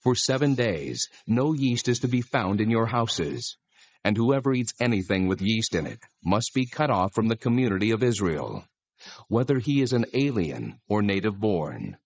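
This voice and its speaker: A man playing God as a solemn, authoritative deity, giving measured instructions in a dramatized scripture reading. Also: fairly low pitch, big pitch swings, no echo. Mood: solemn, commanding.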